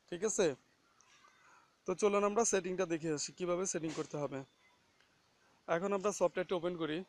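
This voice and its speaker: A man with a notably high-pitched voice presents a casual how-to. He gives instructions one step at a time and keeps checking that listeners follow. The sound is dry, with very little room echo. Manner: instructional, often checking with "okay?". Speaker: tutorial host. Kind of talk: casual step-by-step phone app screen tutorial.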